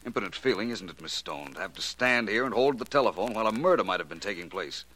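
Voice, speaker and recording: A suave, self-assured private detective in a vintage 1940s radio drama. His voice is low and forceful, moving freely up and down, with crisp formal phrasing and cool irony. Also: animated, fast talker, a little hiss or hum, poor audio. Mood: brisk, grave. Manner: crisp, formal, coolly ironic.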